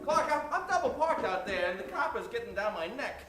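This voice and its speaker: A man's voice: very high voice, sing-song, a little fast, loud music behind the voice. A man playing an eager, chummy detective's sidekick in improvised old-time radio comedy. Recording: echoey live stage, far from mic.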